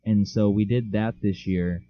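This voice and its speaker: A teenage boy trades jokes and tells rambling stories on a casual podcast, with a voice that sits a little low. The sound comes through a dull, lo-fi home mic. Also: steady tone, measured pace, dry recording. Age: teens. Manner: joking, teasing, tells stories with big laughs. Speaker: podcast co-host. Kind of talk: casual chat.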